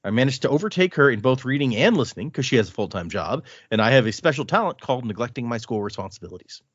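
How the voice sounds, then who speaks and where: A man in the host's chair reads a listener email aloud, his voice at a middle pitch and swinging up and down. He keeps breaking off to add quick asides of his own before picking the letter back up. The sound is close and dry, with a slightly dull, lo-fi edge. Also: slightly rough, dry recording. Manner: reads with lively asides and comments between lines. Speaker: podcast host.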